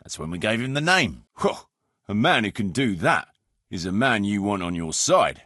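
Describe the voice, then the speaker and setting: A man's voice: fairly low pitch, very animated, normal speed, pushed and bright, no room sound, studio quality, full character voice. A man playing a roguish, world-weary medieval mercenary captain in a video game, firm but fond, speaking bluntly and colloquially. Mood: wry storytelling. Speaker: game character, band leader. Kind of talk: video game dialogue acting.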